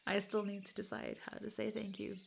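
A South African woman in her twenties whose first language is Afrikaans. She chats to a follower in a giggly, hesitant, soft-spoken roleplay and sometimes drops to a whisper. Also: medium pitch, flat delivery, fairly quick, vocal fry, a little hiss or hum. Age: 20s. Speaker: content creator addressing a follower. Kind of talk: roleplay chat to the listener.

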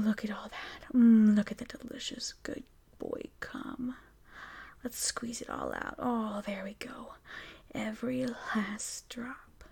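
Slow breathy whispering woman. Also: fairly high pitch. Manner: soft teasing whisper, slow and coaxing. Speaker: audio roleplay performer. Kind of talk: whispered audio roleplay.

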